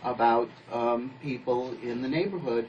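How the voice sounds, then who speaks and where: A man's voice: medium pitch, moderate pace, a little mellow, distant mic, highs cut off, a little hiss or hum. A man being interviewed on radio as a playwright and producer, explaining things casually with examples.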